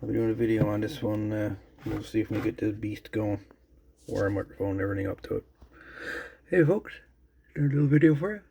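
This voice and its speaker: A man giving a casual, unscripted show-and-tell as a video host, musing haltingly and trailing off as he goes. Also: on the low side, creaky, warm tone, a little hiss or hum. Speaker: host of a radio repair channel.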